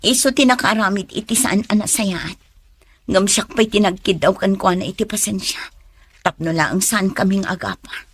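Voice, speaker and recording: A woman's voice: mid-range voice, moderate pace, breathy edge, no echo, natural voice. An actress playing a hurt, jealous young girlfriend in a Filipino radio drama, with a Filipino accent and an emotional, pleading or scolding delivery. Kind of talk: Philippine radio drama acting.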